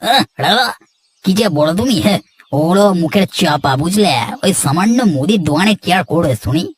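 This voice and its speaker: A man's voice: sits high, lively intonation, moderate pace, no echo, clean studio sound. A man voicing an idle, carefree, argumentative village son with a grumbling, dismissive manner in a cartoon. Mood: grumbling, defiant. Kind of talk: Bengali cartoon dialogue.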